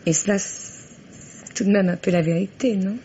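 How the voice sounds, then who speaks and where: An actress playing a frightened, distressed wife in an old French radio mystery, speaking haltingly and repeating her words. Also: medium pitch, steady tone, warm tone, lightly acted. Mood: pleading. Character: frightened, distressed wife fleeing a violent husband. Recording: dull, noisy vintage radio recording.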